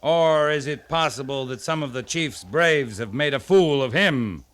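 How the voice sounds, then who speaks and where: Clear-voiced, stern cavalry captain. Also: male voice, mid-range voice, animated, fairly bright tone. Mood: cool, challenging. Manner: commanding, measured, pointed questioning. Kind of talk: 1950s radio western acting.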